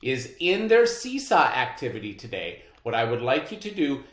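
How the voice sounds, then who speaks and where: A teacher reading a story aloud to a class and giving instructions, with expressive delivery. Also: mid-range voice, moderate pace. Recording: echoey room.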